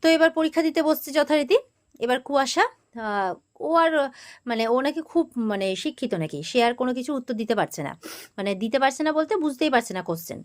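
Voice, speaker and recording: A woman's voice: high-pitched, lively intonation, fairly quick. A woman with a West Bengal accent giving a casual, chatty spoken recap of a TV serial, slipping into quoted dialogue as she tells it. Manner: chatty, informal storytelling with quoted exchanges. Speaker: host of a TV serial recap channel.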